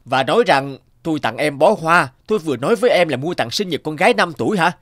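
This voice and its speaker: A man voicing a shy, kind young single father in an animated drama, hesitant and bashful. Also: fairly high pitch, fast talker, no room sound, clean studio sound. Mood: flustered.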